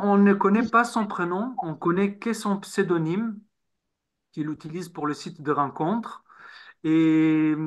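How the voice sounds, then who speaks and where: Man, Hebrew speaker, author guest, slightly high voice, halting, repeats words. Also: a bit bright. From Israel. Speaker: novelist guest. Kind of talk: interview answers.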